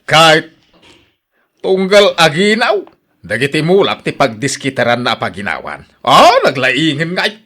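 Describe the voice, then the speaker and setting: An actor playing a grumpy, stingy old husband in a radio drama, scolding and complaining with an Ilocano accent. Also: male voice, a little high, sing-song, measured pace, sharp tone, heavily acted. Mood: angry, scolding. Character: grumpy, stingy old husband guarding his fruit trees. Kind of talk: Filipino radio drama acting.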